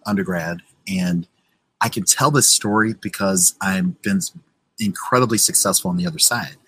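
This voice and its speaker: Husky American man in his forties. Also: low-pitched, normal speed, a bit bright, poor audio. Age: 40s. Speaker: interview guest, professional telling career story. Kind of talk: casual conversational storytelling.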